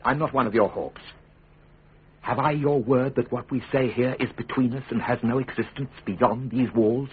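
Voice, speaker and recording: An actor playing a wry, principled middle-aged English statesman, measured, dry and lawyerly, in a radio drama. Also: medium pitch, fairly even intonation, close to an everyday voice. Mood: earnest. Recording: phone-like lo-fi radio recording, faint noise.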